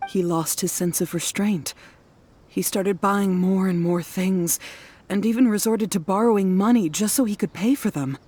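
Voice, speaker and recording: A woman playing a worried, weary wife and mother in a video game, explaining earnestly and in a measured way. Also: mid-range voice, mellow, slight background noise, natural voice. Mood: distressed, exasperated.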